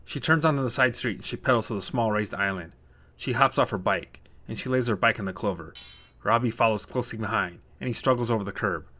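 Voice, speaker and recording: A man's voice: fairly low pitch, quick pace, sharp tone. A man narrating a scripted short story as a storyteller.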